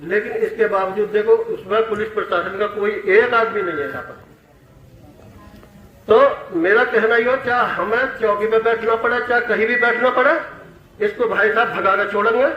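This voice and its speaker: A man's voice: sits high, moderate pace, recorded from a distance, highs cut off. Defiant man speaking over a crowd. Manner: defiant, emphatic. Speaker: farmer protest leader. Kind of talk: protest speech. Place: large indoor hall with crowd. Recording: echoey hall, crowd noise.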